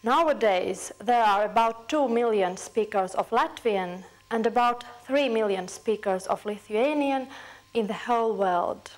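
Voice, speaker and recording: Slow, careful young woman explaining. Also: fairly high pitch, poor audio. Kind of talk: explaining to camera. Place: indoor room. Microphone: lapel mic.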